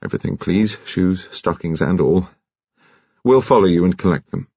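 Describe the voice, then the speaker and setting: A man playing a courteous English police detective in an audiobook, calm, probing and gently authoritative. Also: low voice, husky. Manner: polite, measured, gently authoritative. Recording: muffled, echoey room.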